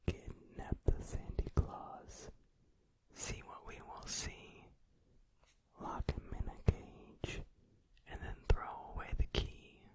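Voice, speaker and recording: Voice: natural voice, poor audio. A performer whispering the part of a cruel, gambling male boogeyman who gloats in singsong. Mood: menacing. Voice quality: whispered, unvoiced. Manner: mocking, singsong. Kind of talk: whispered song cover, ASMR.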